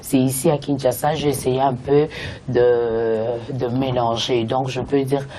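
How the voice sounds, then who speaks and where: Femme à la voix grave et posée. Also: female voice, extremely low pitch, level tone, measured pace, a crowd in the background, rough recording. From Katanga, DR Congo. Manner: hesitant, many fillers, laughs often. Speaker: gospel singer, guest. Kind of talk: casual interview chat, switching languages.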